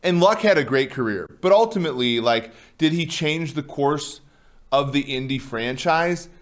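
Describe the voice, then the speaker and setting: A man co-hosting a casual sports chat, talking loudly and rambling, with many restarts and fillers. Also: on the high side, lively intonation, normal speed. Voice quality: loud voice. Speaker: co-host.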